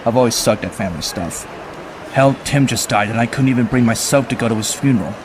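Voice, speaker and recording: A man's voice: mid-range voice, quick pace, background noise, close to an everyday voice. Man playing a wry, sardonic vigilante antihero. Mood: self-doubting. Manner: wry, conversational. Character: young male vigilante antihero, sardonic, world-weary loner. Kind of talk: first-person narration and dialogue, motion comic.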